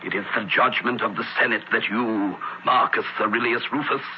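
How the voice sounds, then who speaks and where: An actor playing a stern Roman judge in a radio drama, handing down sentences in grandiose, drawn-out phrases. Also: male voice, lowish voice, steady tone, lightly acted. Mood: solemn, ominous. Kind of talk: 1970s radio drama acting. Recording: muffled noisy old radio recording.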